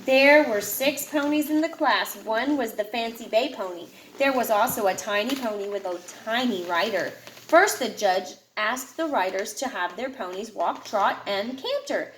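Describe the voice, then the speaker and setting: A woman with a fairly high voice reads a children's picture book aloud. Her delivery is expressive, as if for a young listener. The room echoes around her and there is some background noise. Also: moderate pace. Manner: expressive, puts feeling into the dialogue. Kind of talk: read-aloud storytelling to a child.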